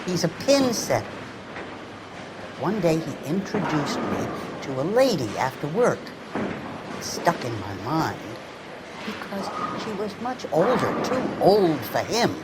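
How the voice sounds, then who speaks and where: Over loud ambient noise, a sassy attendant drawls slowly in a fairly low voice. She is teasing and flirtatious, with a melodic swing to her lines in a period game. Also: very animated, heavily acted. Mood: casual, recollecting. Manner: teasing, unhurried. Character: sassy, flirtatious 1940s bowling alley attendant woman. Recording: very noisy bowling alley ambience.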